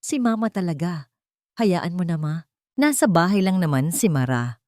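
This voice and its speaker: Smooth deceitful Filipino husband voiced by a woman. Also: fairly low pitch, lively intonation, normal speed, a little mellow, no room sound, studio quality, lightly acted. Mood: lightly placating.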